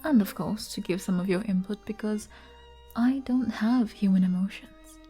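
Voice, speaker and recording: A woman playing a flirty, gentle arbiter bartender in an ASMR roleplay, soft-spoken and coy as she explains things patiently. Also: mid-range voice, moderate pace, husky, soft background music, close to an everyday voice.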